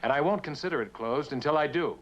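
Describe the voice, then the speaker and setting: An actor playing a proud, bitter prospector, blunt and insistent, in a mid-century television drama. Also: male voice, fairly high pitch, lively intonation, fast, muffled recording, lightly acted. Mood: defiant. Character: proud, bitter mineral prospector, a man hard bargainer.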